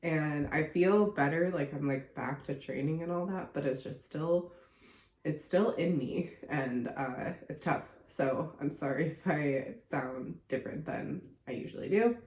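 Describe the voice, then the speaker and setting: A woman's voice: deep voice, measured pace, a little mellow, reverberant. A young woman hosting her own podcast, chatting casually with lots of fillers and sounding congested from a recent illness. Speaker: podcast host and contortion coach. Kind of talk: casual solo podcast monologue.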